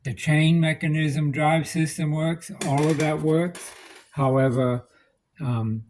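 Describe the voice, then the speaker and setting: A man's voice: fairly high pitch, fairly even intonation, takes it slowly, a little mellow, a little hiss or hum. A man giving a casual, unscripted electronics repair demonstration, plain-spoken and practical, thinking aloud as he works.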